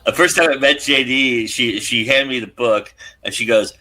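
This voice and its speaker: A man's voice: medium pitch, moderate pace, sharp tone, faint music behind, poor audio. A man hosting an interview in a casual, conversational style. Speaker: host, interviewer.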